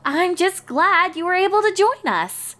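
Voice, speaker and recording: A woman's voice: extremely high pitch, big pitch swings, unhurried, fairly bright tone, pitched above the performer's own voice, full character voice. A woman voicing a bookish, slightly distracted young female pony from a cartoon, hesitant and plain-spoken. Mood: flustered. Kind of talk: cartoon fan-fiction character reading.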